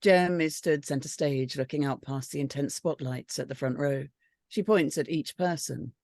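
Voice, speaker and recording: A woman's voice: sits low, normal speed, darkish tone, dry recording. Woman reading screenplay directions, dry sound. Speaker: narrator reading stage directions. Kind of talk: scripted screenplay narration.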